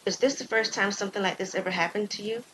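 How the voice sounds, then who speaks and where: A woman voicing a gentle, devout and caring young woman hiker in an audio story. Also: mid-range voice, fast talker, slight background noise, natural voice, poor audio. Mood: gentle, curious. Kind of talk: audio story character dialogue.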